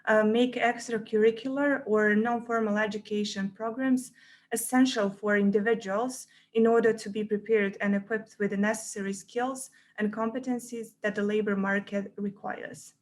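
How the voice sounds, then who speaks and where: A woman's voice: mid-range voice, fairly even intonation, moderate pace. Woman in her 20s from montenegro, podcast guest, mid voice, formal and prepared. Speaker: guest youth ambassador and PhD student. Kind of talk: formal self-introduction and prepared question.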